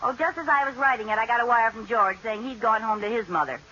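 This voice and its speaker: A woman playing an excitable, scheming housewife in an old radio sitcom, theatrical and breezy. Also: fairly high pitch, fast, natural voice. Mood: musing. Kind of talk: 1940s radio sitcom acting. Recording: muffled, hissy old radio recording.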